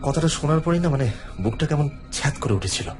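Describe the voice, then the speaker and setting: An actor playing a harried, placating, gossipy husband in an audio horror drama, speaking colloquially and hesitantly with sighs and fillers. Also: male voice, on the high side, animated, fast talker, darkish tone, natural voice. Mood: uneasy, gossipy. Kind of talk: Bengali audio horror story acting. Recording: background music under the voice.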